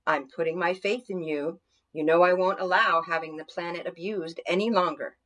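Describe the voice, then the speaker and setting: A woman's voice: fairly low pitch, fairly even intonation, moderate pace, a bit bright, close to an everyday voice. Woman playing a stern, motherly nature spirit. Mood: relenting.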